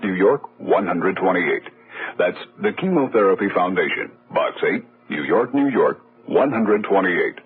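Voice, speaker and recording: A tinny old radio broadcast with music behind a man announcing an appeal. He speaks low, earnestly and with careful enunciation. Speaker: public service announcer. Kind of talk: scripted radio charity appeal.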